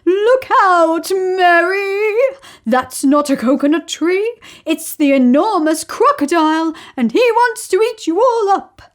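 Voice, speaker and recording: Bold charging hippo, put on by a woman reading a story; loud, raised shouts. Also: very high-pitched, lively intonation, slow pace, voice pushed up, full character voice. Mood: urgent.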